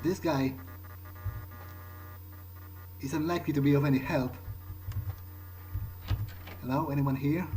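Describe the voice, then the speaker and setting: A man's voice: fairly high pitch, a little mellow, natural voice, rough recording. A man who is not a native English speaker, voicing a vampire game character who thinks aloud to himself in a hesitant, muttering way. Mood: hesitant, curious. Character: vampire character musing to himself while sneaking around. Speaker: gamer playing in character.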